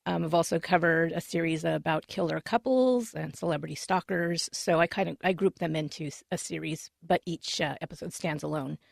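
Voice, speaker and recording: A woman's voice: deep voice, a little fast, gravelly, few pauses, no echo, clean studio sound. A woman podcast host describing her show in a roundtable discussion, chatty and often correcting herself mid-sentence. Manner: chatty, self-correcting, many fillers.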